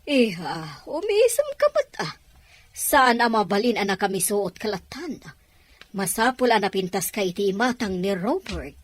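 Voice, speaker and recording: A woman playing a placating, pushy middle-aged mother in an audio drama, gushing and eager to smooth things over. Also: mid-range voice, very animated, measured pace, no echo. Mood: hushed coaxing.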